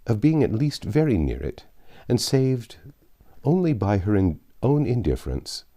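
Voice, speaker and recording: A man narrating a classic novel aloud as an audiobook reader, with measured, expressive literary phrasing. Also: lowish voice.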